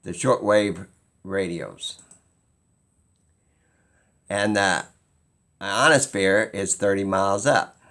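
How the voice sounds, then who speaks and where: A man talking informally and skeptically as he explains something, halting and pausing mid-sentence as he muses. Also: deep voice, a bit bright. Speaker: video host.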